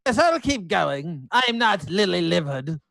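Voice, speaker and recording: A man's voice: high voice, sing-song, a little slow, gravelly, fairly bright tone, no echo, studio quality, heavily acted. A man playing a haughty young aristocrat adventurer in a tabletop game, speaking in pompous, emphatic, formal phrases. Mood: prim.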